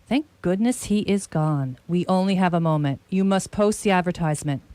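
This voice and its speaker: A woman playing a shrewd, commanding detective in a radio play, with grandiloquent, deliberate phrasing. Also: lowish voice, dark tone, no echo, lightly acted. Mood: brisk, self-satisfied. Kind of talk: radio theatre acting.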